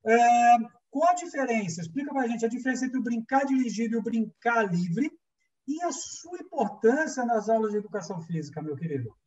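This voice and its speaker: A man's voice: very high-pitched, lively intonation, a little fast, a little mellow. A Brazilian man hosting an online interview programme in an upbeat, informal, friendly and enthusiastic way. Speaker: host of a weekly online programme. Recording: distant, dull lo-fi sound.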